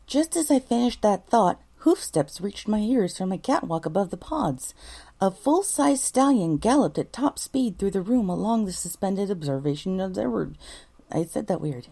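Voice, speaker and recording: A woman's voice: medium pitch, expressive, normal speed, creaky, warm tone. A woman reading a scripted first-person story as a voice actor, correcting herself and mildly exasperated at her flubs. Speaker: voice actor, narrator.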